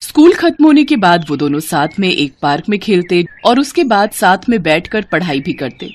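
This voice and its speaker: A woman narrating a children's moral tale in an expressive storytelling manner. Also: fairly low pitch, moderate pace.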